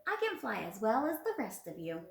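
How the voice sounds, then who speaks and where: A woman's voice: a little high, huge pitch swings, moderate pace, gravelly, heavily acted. A woman voicing a boastful, needy male coyote in a storybook read-aloud, pleading and demanding, recorded on a phone. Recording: roomy, echoey phone recording.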